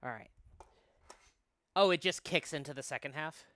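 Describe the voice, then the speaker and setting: A man's voice: fairly high pitch, normal speed, bright, forceful tone. A person, a man, hosting a game playthrough in casual chat and reading aloud. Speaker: host of a visual novel playthrough. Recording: music behind the voice.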